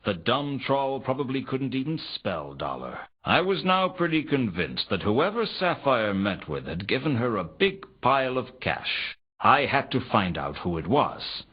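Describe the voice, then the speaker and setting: An actor playing a world-weary, wry noir detective, narrating a game in a deadpan, sardonic way. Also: male voice, medium pitch, measured pace, few pauses, breathy edge. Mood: dry, suspicious. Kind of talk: scripted first-person noir game narration. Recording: dull lo-fi game audio.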